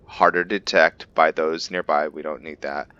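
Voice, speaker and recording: Very deep-voiced gamer musing aloud. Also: male voice, fairly even intonation, normal speed, bright, forceful tone, clean tone, no echo, muffled recording. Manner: thinking aloud, musing and self-correcting. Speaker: gamer narrating a roleplay playthrough. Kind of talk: casual let's-play commentary.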